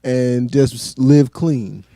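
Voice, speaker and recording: A man's voice: medium pitch, extremely slow, mellow, no echo, clean studio sound. A man co-hosting a film review podcast, talking casually and analytically, with frequent restarts. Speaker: podcast co-host, film critic. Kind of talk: casual movie review chat.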